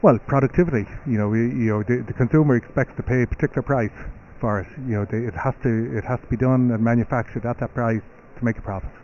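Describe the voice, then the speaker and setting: A man's voice: medium pitch, fast talker, muffled recording, slight background noise. A middle-aged Irish man talking as a guest on a live radio interview, often restarting words and using "you know". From Ireland. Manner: repeats words, many "you know" fillers. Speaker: company CEO guest.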